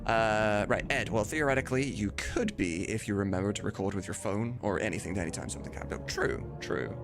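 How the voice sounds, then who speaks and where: A man's voice: lowish voice, brisk. A man in his thirties chatting casually on a live stream, rambling and candid. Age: 30s. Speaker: live streamer, game dub maker. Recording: music playing behind the voice.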